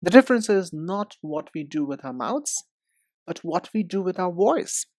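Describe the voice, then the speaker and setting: A man teaching English pronunciation in a deliberate, instructive way, speaking directly to the learner. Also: high-pitched, big pitch swings, a little mellow, no room sound. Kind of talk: instructional lesson.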